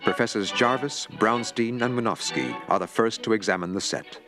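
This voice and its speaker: A man narrating a mock documentary in a measured, formal, newsreel-like way. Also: on the low side, music in the background. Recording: narration over a clear film score.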